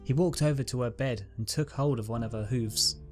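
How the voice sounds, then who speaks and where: A man narrating a fan-written pony story in a calm, steady reading voice. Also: medium pitch, a little fast. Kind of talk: fanfic audiobook reading. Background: guitar music.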